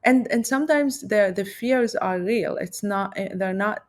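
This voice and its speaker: A woman's voice: mid-range voice, measured pace. A middle-aged woman from Lebanon, a math professor talking reflectively as an interview guest, with many fillers and restarts. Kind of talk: casual interview talk.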